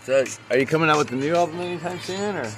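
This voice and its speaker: A man's voice: a little high, quick pace, over music. A man doing a casual street interview, asking short, upbeat questions.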